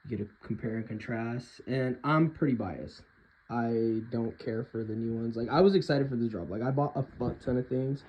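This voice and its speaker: A hoarse man talking informally and with strong opinions in an unscripted collector's review, often clearing his throat. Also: medium pitch, lo-fi sound. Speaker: hoodie collector reviewing products. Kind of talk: casual unscripted compare-and-contrast review.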